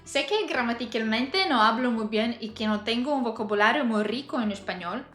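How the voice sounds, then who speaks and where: A woman's voice: on the high side, animated, moderate pace, a bit bright. A woman in her thirties chatting casually to camera as a vlogger, laughing often between hesitant fillers. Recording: roomy echo, faint music behind.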